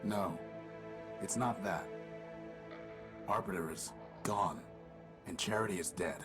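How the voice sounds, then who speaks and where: An actor playing a weary, stoic male android in a video game, speaking in a subdued, measured way. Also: low voice, over music. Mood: somber.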